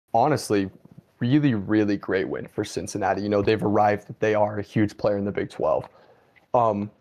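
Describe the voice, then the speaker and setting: A man's voice: fairly low pitch, a little mellow. A man hosting a casual football talk show, giving opinions with lots of "I mean" and "like.". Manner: conversational, many "I mean" and "like" fillers. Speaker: host.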